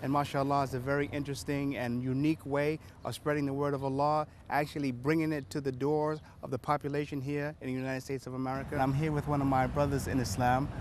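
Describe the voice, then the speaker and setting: A man's voice: mid-range voice, level tone, fast, hardly any pauses. Male host for a field interview, mid-range voice, street and vehicle noise behind. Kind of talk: on-location interview. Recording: clear outdoor street and traffic noise. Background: street ambience and vehicles.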